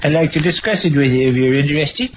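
A man's voice: on the high side, fast talker, natural voice. An actor playing a pompous, middle-aged small-town husband and father with an American accent, speaking in wordy formal phrases in 1940s radio comedy style. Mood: earnest, persuasive. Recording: muffled, noisy old radio recording.

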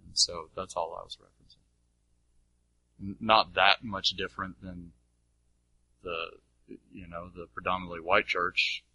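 A man teaching in an informal, halting way as he answers questions and clarifies his points. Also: low-pitched, flat delivery, pushed and bright, husky, dry recording, low-quality recording.

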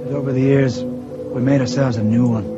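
Actor as brooding man leading outcasts, TV trailer monologue, muffled sound, loud ominous music. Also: mid-range voice, steady tone, moderate pace, close to an everyday voice. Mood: grave, reflective. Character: brooding male leader of an outcast family.

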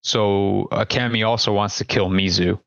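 Low-voiced man, slightly dull mic. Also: fairly even intonation, normal speed, vocal fry, fairly bright tone, no echo. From Mexican-American, United States. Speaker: podcast co-host. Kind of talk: casual review chat.

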